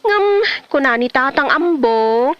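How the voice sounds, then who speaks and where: Lady acting grumbly village woman, comedy drama, lively pitch, dull dry recording. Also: unhurried, no room sound. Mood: grumbling. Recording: dull, muffled, dry sound.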